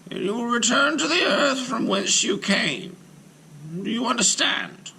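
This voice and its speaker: A man voicing an elderly male rabbi, solemn and commanding, his lines raised high and urgent, at times whispered. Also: animated, unhurried, vocal fry, pushed and bright, husky, exaggerated voice, poor audio.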